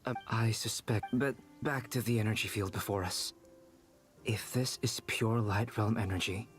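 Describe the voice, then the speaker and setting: An actor playing a calm, analytical young male scholar in a video game, precise and composed as if lecturing. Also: medium pitch, natural voice. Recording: game music behind.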